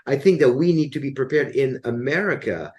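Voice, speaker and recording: A man hosting his show, talking in a halting, casual way with many restarts and repeated words. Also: medium pitch, darkish tone, dull audio. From Dallas area. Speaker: show host. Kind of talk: casual conversation.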